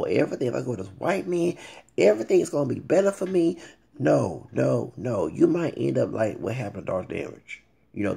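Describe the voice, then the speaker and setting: A man in his late thirties giving a blunt, animated rant to his viewers, swearing freely and calling them y'all. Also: on the low side, gravelly, husky. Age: late 30s. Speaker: vlogger. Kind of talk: ranting commentary to camera.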